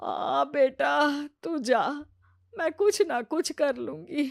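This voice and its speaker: A woman's voice: sits high, lively intonation, takes it slowly, creaky, no echo, clean studio sound, exaggerated voice. A woman voicing a patient older mother-in-law in a cartoon moral story, motherly, plaintive and weary. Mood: resigned. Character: patient, long-suffering older mother-in-law, gently resigned.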